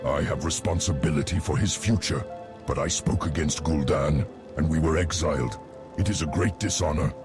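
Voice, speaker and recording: A very deep-voiced, solemn orc chieftain. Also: male voice, normal speed. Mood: solemn, resolute. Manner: solemn, earnest. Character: proud, honourable, exiled male orc chieftain. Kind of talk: fantasy game cutscene acting. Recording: music behind.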